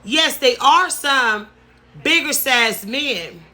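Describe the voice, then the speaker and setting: Emphatic woman with a bright voice. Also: high-pitched, lively intonation, slow. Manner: emphatic, repeats words for stress. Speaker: vlogger. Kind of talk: casual story-time talk to camera.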